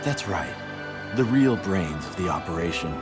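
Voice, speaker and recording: Male game villain: smug, teasing mastermind, low voice that swoops expressively, music underneath. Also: animated. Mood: coy, amused. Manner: teasing, theatrical, drawn-out delivery. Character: sly theatrical male mastermind, playful, condescending, falsely sentimental. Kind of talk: video game voice acting.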